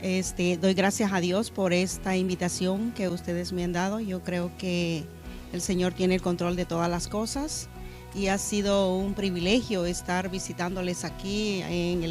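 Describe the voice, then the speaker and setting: Earnest Honduran-born woman pastor. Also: medium pitch. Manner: earnest, reflective, with hesitant fillers. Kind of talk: testimony interview. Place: church media studio.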